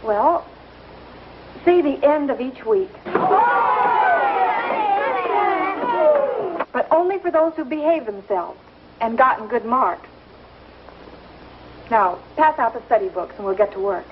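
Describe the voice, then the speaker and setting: An actress playing a firm but kindly young schoolteacher in a 1950s television Western, speaking with precise, proper diction. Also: female voice, on the high side, a little slow, long pauses, heavy background noise, close to an everyday voice. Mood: firm, prim. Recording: muffled old 1950s TV soundtrack, loud music.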